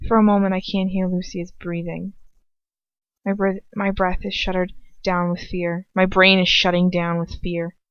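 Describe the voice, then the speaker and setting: A woman reading first-person fiction aloud as an amateur audiobook narrator, in an expressive storytelling style. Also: fairly low pitch, normal speed, clean tone, no room sound. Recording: dull lo-fi dry home recording.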